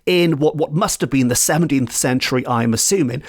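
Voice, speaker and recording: A man's voice: mid-range voice, fairly quick. A man from Wales who speaks Welsh, hosting a folklore podcast from home and telling the tale with playful asides. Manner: lively, animated storytelling with playful asides. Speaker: podcast host. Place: home in a residential area.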